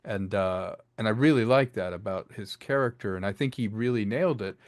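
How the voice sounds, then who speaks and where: A man's voice: fairly low pitch, brisk, no room sound, clean studio sound. A man talking casually and enthusiastically as a writer and director being interviewed about his work. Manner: chatty, enthusiastic, acts out bits of dialogue.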